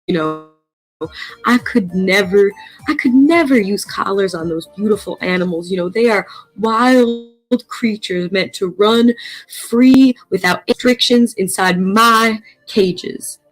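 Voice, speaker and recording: A woman playing an eccentric, cheerful big-cat keeper in a comedy crafting video, halting and folksy as she addresses viewers. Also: medium pitch, soft background music, natural voice, rough recording. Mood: sweetly folksy.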